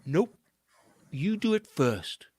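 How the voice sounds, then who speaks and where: A storyteller voicing a suspicious, stubborn male monkey who refuses flatly and stammers a little. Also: mid-range voice, slow, husky, dry recording, full character voice.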